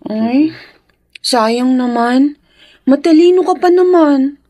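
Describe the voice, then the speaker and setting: An excitable young Filipina in a radio drama. She is sympathetic and exclaiming, with a very high-pitched voice. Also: slow pace. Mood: surprised, sympathetic. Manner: exclaiming, questioning.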